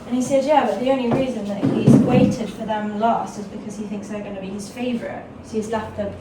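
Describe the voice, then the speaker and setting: A woman's voice: medium pitch, steady tone, fairly quick, roomy sound, distant mic, very noisy. A woman vlogging and chatting casually, self-deprecating and playful. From England. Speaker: travel vlogger. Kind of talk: casual chat to camera.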